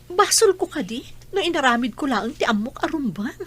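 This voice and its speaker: A woman's voice: high-pitched, very animated, fairly quick, a bit bright, no echo. A Filipino woman playing an anguished, stubborn mother pleading with her children in a radio drama.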